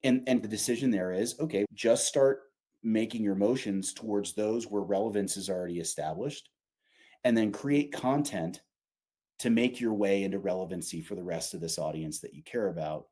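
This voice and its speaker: A man's voice: on the low side, moderate pace, warm tone. A man talking informally and emphatically, explaining his company's product in a conversational way with casual asides.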